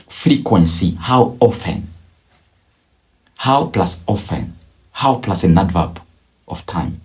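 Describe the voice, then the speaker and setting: A man teaching a beginner language lesson, drilling each phrase slowly and repeating it several times. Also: lowish voice, darkish tone. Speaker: English teacher.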